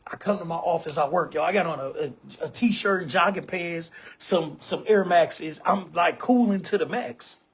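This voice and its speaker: A man's voice: fairly high pitch, moderate pace, few pauses, slight background noise. A man in his forties hosting an online show, talking casually to camera in an emphatic, repetitive way and often calling listeners bro and man. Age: 40s.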